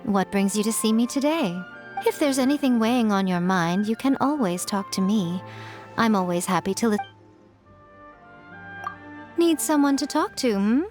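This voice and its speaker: A woman playing a self-assured, teasing fox spirit shrine maiden in a video game, with playful, coy and deliberate phrasing. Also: a little high, lively intonation, darkish tone, lightly acted. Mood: playful, inviting. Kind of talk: polished video game character acting. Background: music.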